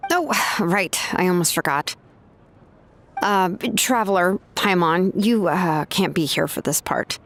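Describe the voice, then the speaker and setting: A woman playing a laid-back, practical young craftswoman in a video game, casual and matter-of-fact with hesitant pauses. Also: on the low side, a little hiss or hum. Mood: awkward, explaining.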